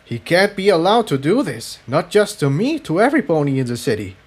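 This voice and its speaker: A man's voice: on the high side, big pitch swings, a little fast, slightly rough. A man voicing an intense, shaken young pony in a fantasy story, with an urgent, questioning manner. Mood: resolute.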